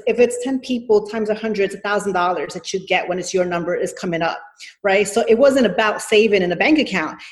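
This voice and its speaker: A woman's voice: medium pitch, a little mellow. A woman from New York City speaks as an interview guest. She explains her points carefully and at length. Her phrasing is full of hedges and restarts. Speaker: nonprofit executive guest. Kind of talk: interview answers.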